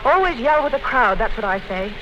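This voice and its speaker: A woman's voice: fairly high pitch, animated, brisk, lightly acted. An actress playing a secretly rebellious, pragmatic young woman of twenty-six in a 1950s radio drama. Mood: frank, lively. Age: young adult. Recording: dull, noisy 1950s radio recording.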